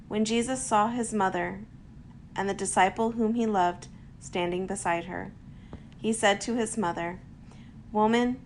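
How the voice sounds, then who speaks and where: A woman's voice: medium pitch, low-quality recording. A woman reading scripture aloud in a solemn, formal style as a reader for a church service. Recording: faint background noise.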